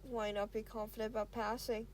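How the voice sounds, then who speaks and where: A woman voicing a calm, reassuring and slightly blunt young male student tutor in a story, speaking in a restrained, matter-of-fact way. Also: fairly high pitch, fairly quick, no room sound, pitched below the performer's own voice, lightly acted. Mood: calm, patient.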